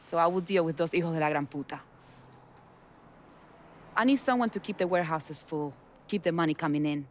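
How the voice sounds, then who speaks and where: A woman's voice: deep voice, a little fast, long pauses, a little hiss or hum, close to an everyday voice. A woman, voicing a tough smuggling boss in a video game, blunt and businesslike. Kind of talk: video game cutscene acting.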